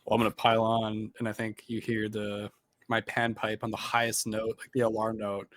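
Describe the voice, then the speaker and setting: A man with a hoarse voice playing a tabletop game, talking animatedly and in quick bursts. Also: lowish voice, monotone, no echo. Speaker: player.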